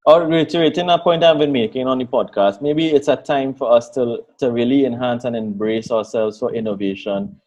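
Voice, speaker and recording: Male interview host, mid-pitched voice, slightly dull muffled recording. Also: brisk, few pauses, darkish tone. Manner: encouraging, repeats key points. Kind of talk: interview and podcast hosting.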